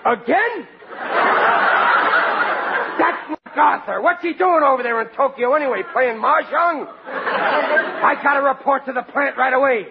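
An actor in a 1940s radio sitcom playing an excitable, dim, sentimental family man, blustering and plaintive in a colloquial way. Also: very high voice, unhurried, gravelly, long pauses, a loud crowd, full character voice. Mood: indignant, agitated. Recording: noisy, dull 1940s radio recording with audience.

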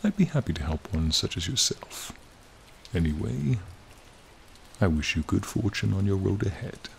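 A man voicing a charming, friendly ancient dragon in a soothing, formal, gently teasing ASMR roleplay. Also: low voice, animated, moderate pace, vocal fry, slight background noise. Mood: fond farewell. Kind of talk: ASMR roleplay, slow intimate monologue. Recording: rain and cave ambience behind.

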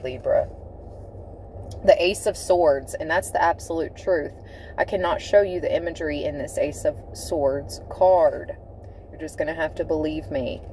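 A woman giving a tarot reading from inside a car, speaking in a slow, dramatic way and repeating key phrases for emphasis. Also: fairly low pitch, dark tone, a little hiss or hum, low-quality recording. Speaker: tarot reader. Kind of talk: tarot card reading to camera.

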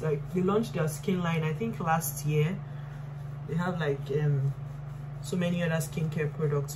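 A woman in her twenties with a Nigerian accent chatting casually to camera, pausing and repeating words for emphasis. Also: low-pitched. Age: 20s. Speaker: lifestyle vlogger. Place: small bright room indoors. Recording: clear background noise and hum.

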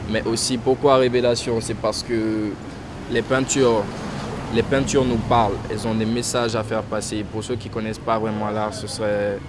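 Young man from Guinea, lowish voice, hesitant casual answers, outdoor street traffic audible. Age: young adult. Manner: hesitant, many restarts and fillers, trailing off softly. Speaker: painter interviewed. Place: outdoors in front of a painted backdrop, street traffic nearby. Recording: outdoor street noise behind voice.

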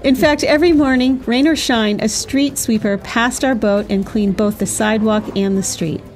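A middle-aged woman narrates a travel vlog in a cheerful, chatty voice-over. Her voice sits a little high. Faint music runs beneath her. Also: normal speed, a little mellow. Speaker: sailing vlog co-host.

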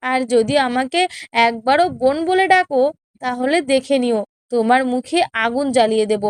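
Haughty, high-voiced young wife played by a woman. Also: moderate pace, clear, resonant tone, no room sound, studio quality, close to an everyday voice. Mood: scornful, bossy. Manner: scolding, sneering, imperious. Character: haughty, spiteful younger co-wife in a village household. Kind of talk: children's cartoon story dialogue.

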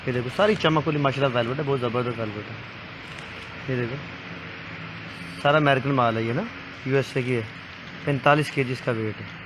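A young man from Pakistan speaks at an ordinary, mid-height pitch, with a repetitive salesman's patter. He is recorded close on a phone inside a small shop packed with clothing bundles, with a faint shop hum underneath. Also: fairly quick, pauses often. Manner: repetitive salesman patter, urging viewers to look and call. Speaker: vlogger selling used clothing bundles. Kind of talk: casual sales vlog.

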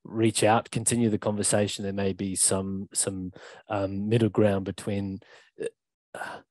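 A man's voice: fairly low pitch, flat delivery, a little slow, a little mellow, no echo. Moderating man with a headset, dry sound. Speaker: panel moderator. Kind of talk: moderating a video-call panel. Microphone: headset on video call.

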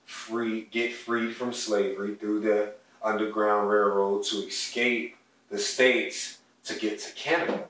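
Young guy, kinda low voice, earnest podcast talk from his living room, sounds far off the mic. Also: level tone, measured pace, a bit bright. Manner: earnest, heartfelt, speaks of his soul and ancestors. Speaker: podcast co-host. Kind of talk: casual conversational storytelling. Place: home living room, indoors. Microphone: distant mic on a stand, wide camera. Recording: echoey room audio picked up from afar.

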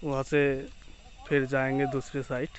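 A man's voice: mid-range voice, flat delivery, normal speed, muffled recording. A young man giving a plain, explanatory walking tour of a construction site outdoors, talking straight to his viewers. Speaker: construction vlogger. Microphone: phone held at arm's length.

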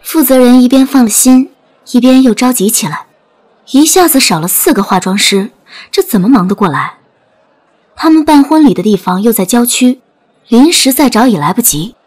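A woman's voice: fairly high pitch, expressive, moderate pace, a little mellow. A woman narrating a scripted dramatic audiobook story. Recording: music bed under narration.

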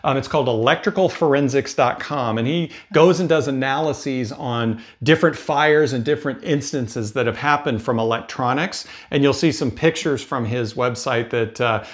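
A man's voice: mid-range voice, moderate pace, few pauses. A man in his fifties with an American accent, talking casually and explaining things as a video host. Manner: conversational, explanatory. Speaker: cruise advice video host. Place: indoor room with bookshelves. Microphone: lapel mic.

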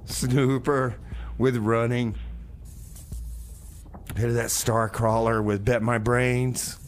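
Halting, easygoing male radio host. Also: mid-range voice, level tone, a little hiss or hum. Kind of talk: casual community radio banter with comedy bits.

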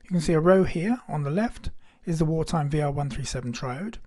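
A man's voice: a little high, lively intonation, brisk, dark tone. A man giving scripted narration for a hobby electronics demonstration.

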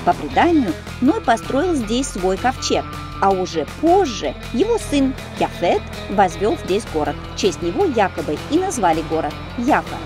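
Expressive woman narrating over music. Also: medium pitch, normal speed. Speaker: channel host and narrator. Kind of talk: scripted travel narration.

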